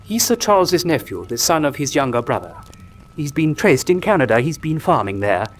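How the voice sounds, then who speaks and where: Anxious English doctor, highish animated voice. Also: male voice, moderate pace, slight background noise. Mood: earnest, anxious. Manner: hesitant, earnest, formal. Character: Doctor Mortimer, earnest, anxious country doctor and man of science. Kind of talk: radio drama acting.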